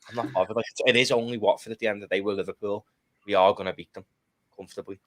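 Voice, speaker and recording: A man's voice: on the low side, steady tone, very fast, dry recording. A man, chatting casually and bluntly about football on a live fan stream. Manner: blunt, opinionated, swears. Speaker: podcast panelist.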